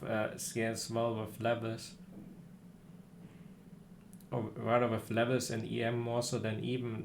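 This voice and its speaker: Halting male game streamer over background noise. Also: fairly low pitch, gravelly. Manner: hesitant, halting, thinking aloud. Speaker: game livestreamer. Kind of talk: casual livestream chat about game strategy.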